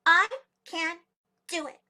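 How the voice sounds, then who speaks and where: A woman voicing a tiny, proud, determined little female muskrat with a squeaky voice in a told folktale. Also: fairly even intonation, fast, sharp tone, exaggerated voice. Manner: proud, boldly declaring.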